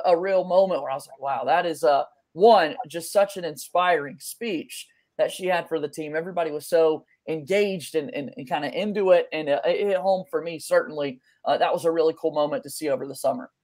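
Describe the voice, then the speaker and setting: A man's voice: on the high side, fairly quick, darkish tone. A young adult man hosting a sports podcast in a conversational style over a video call. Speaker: podcast host. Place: small room at home, on a video call.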